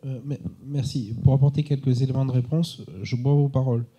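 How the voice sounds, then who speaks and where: A man answering as an expert at a conference, unscripted but didactic, with formal, measured wording. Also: mid-range voice, level tone, dark tone, breathy edge. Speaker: expert answering audience questions.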